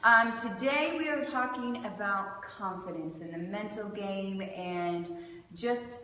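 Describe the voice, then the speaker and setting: A woman's voice: mid-range voice, slow pace, pushed and bright. Distant echoey woman, upbeat host. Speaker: show host. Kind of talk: upbeat casual interview chat. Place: echoey indoor clothing shop. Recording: echoey reverberant room, distant, noisy.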